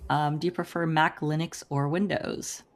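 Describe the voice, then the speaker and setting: A woman with a somewhat low voice hosts a podcast interview. She is bubbly and upbeat, and she laughs easily between her questions. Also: fairly even intonation, normal speed. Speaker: podcast host.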